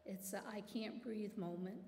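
A woman's voice: mid-range voice, steady tone, unhurried, dark tone, a little hiss or hum. Middle-aged woman, heartfelt church testimony, roomy hall echo. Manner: earnest, emotional, fighting back tears. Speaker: church member giving testimony. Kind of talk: personal testimony to a congregation. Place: large church sanctuary. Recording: echoey hall with long reverb.

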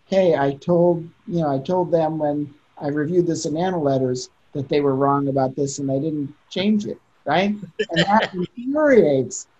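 Middle-aged male editor on a video panel, mellow and candid, muffled call sound. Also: fairly high pitch, unhurried. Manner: thoughtful, candid, with occasional laughs. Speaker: journal editor. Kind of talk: panel discussion. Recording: dull lo-fi video call audio.

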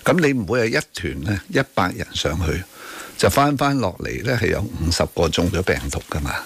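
A man's voice: low-pitched, big pitch swings, fairly quick, a bit bright, husky. A man with a Hong Kong Cantonese accent hosting radio talk, arguing emphatically about current affairs with rhetorical tag questions and bits of English. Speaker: radio talk show host. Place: radio studio.

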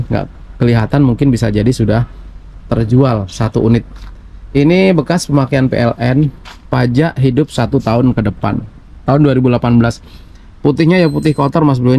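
A man's voice: mid-range voice, vocal fry, mellow. A man with a Javanese Indonesian accent hosting a casual walkaround of used vehicles outdoors, chatting directly to his viewers. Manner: chatty, addresses viewers as Mas Bro. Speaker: channel host. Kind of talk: casual walkaround car review. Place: outdoor auction vehicle yard. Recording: faint outdoor background noise.

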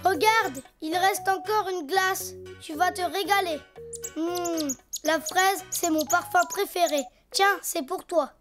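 A woman voicing a cheerful, curious little boy donkey in a cartoon, eager and lively. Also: very high voice, slow pace, over music.

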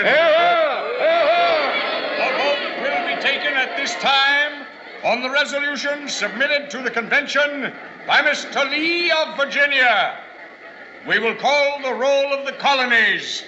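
A man's voice: very high voice, noisy background. A man playing a solemn, formal chairman of a colonial congress, declamatory and ceremonious, in old-time radio drama style. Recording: dull vintage radio, long hall reverb.

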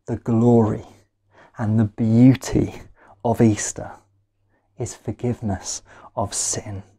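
A man preaches in an unhurried, deliberate way. His tone is mellow and relaxed, yet he leans on key words and repeats phrases for emphasis, leaving measured pauses between them. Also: on the low side, husky. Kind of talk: sermon. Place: small living room at home, indoors.

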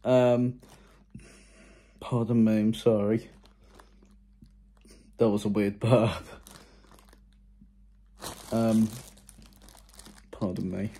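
A young adult man chatting casually and haltingly to his phone in an unscripted vlog, often sounding unsure. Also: on the low side, level tone, warm tone, breathy edge. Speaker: vlogger. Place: small room indoors. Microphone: phone at arm's length.

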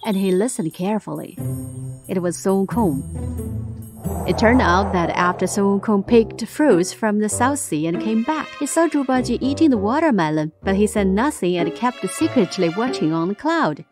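A woman's voice: mid-range voice, lively intonation, normal speed. A woman narrating a scripted children's story as a storyteller, with music playing behind her.

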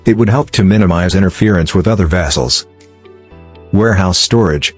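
Deep-voiced male explainer narrator. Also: normal speed. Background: background music.

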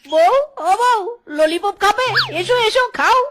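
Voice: very high-pitched, animated, a little slow, heavy background noise. An actor voicing a childish, crazed fox husband in a cartoon, babbling, giggling and whining like a small child. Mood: silly, childlike. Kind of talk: exaggerated cartoon voice acting. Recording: loud music under the voice.

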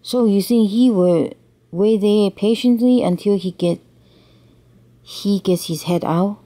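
A woman's voice: medium pitch, takes it slowly, warm tone. A woman reads a picture book aloud to a child, explaining bilingually and checking understanding with questions. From Taiwan.

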